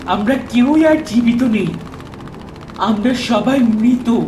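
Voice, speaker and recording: A woman voicing a menacing ghost girl in a horror cartoon, with a deliberate, threatening delivery. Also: a little high, a little mellow. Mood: eerie, accusing. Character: menacing ghost girl haunting a night ferry. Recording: echoing voice over noisy ambience.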